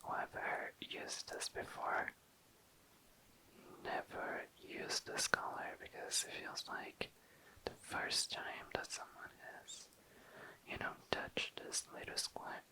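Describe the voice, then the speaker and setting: An ASMR host whispering in a breathy, unvoiced voice, musing casually and tentatively, close to the mic. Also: slow pace, bright, forceful tone. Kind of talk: whispered ASMR, casual makeup swatching narration.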